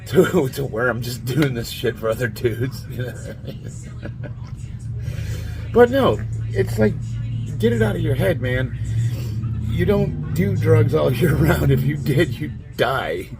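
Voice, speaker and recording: A man in his late forties with a raspy, nasal, stuffed-up voice, rambling bluntly and laughing often as he talks to camera. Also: mid-range voice, expressive, slow pace, music in the background, low-quality recording. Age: 40s. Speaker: fitness vlogger. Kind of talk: casual monologue to camera.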